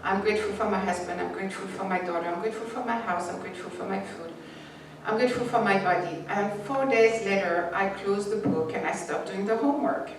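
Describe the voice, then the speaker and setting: A woman's voice: medium pitch, a little fast, crowd noise. A woman whose first language is not English, giving a reflective spiritual talk and reading passages aloud, with a few restarts. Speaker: spiritual teacher. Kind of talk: sermon with read passages. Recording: echoey room from far off, faint noise.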